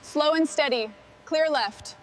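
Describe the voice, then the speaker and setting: A woman's voice: high voice, slow, a little hiss or hum. A woman playing the commanding boss of a machinery repair crew, giving businesslike instructions in a scripted workshop scene. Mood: firm, directing. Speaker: repair team leader.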